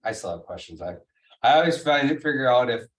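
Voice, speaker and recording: A man's voice: medium pitch, expressive, fast talker, distant mic, slight background noise. A man on a school board, talking in a casual, blunt and encouraging way. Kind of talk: casual remarks at a board meeting.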